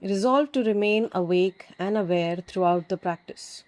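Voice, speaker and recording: A woman teaching yoga nidra, giving calm, soothing guided meditation instructions with long pauses. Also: mid-range voice.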